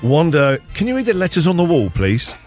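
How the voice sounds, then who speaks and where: A male radio host in a studio, bouncing through puns with a lively, swooping voice. He chuckles often and keeps the banter playful. Faint music runs softly beneath him. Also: a little high, a little fast.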